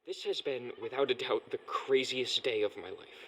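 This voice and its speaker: A man's voice: medium pitch, normal speed, fairly bright tone, a little hiss or hum, natural voice. An actor playing an earnest, eager, bewildered young man talking into a recorder in a family audio drama.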